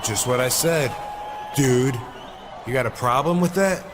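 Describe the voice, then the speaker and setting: Man acting cocky laid-back wrestler, mid-pitched voice, game cutscene, loud background noise. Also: a little slow, crowd noise, natural voice. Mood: challenging. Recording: very noisy background.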